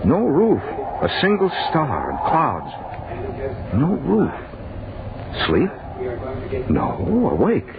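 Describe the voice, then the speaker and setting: A bewildered man narrates an old radio horror tale in halting, overwrought fragments. His pitch swings widely as he grows agitated. The sound is thin and band-limited, with a crowd murmuring behind him. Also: wildly swooping pitch, narrow, tinny audio, full character voice. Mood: confused, alarmed. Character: bewildered, agitated man recounting a waking nightmare.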